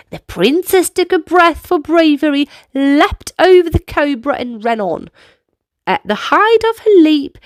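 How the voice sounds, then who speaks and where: A woman reading a children's storybook aloud in an animated, deliberate way, speaking directly to young listeners and guiding them to move along. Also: high voice, no echo, studio quality. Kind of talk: expressive read-aloud narration with movement prompts for young children.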